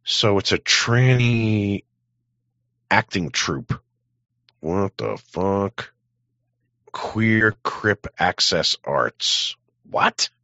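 A man live-streaming in casual chat, rambling bluntly and swearing, with a gravelly, husky voice. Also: lowish voice, lively intonation, drawn-out words, long pauses, sharp tone, dry recording. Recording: dull, close, dry microphone.

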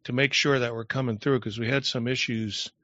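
A man's voice: mid-range voice, fairly even intonation, brisk, sharp tone, no echo, highs cut off. A man hosting a live online show in casual chat, loose and rambling, often trailing off mid-sentence.

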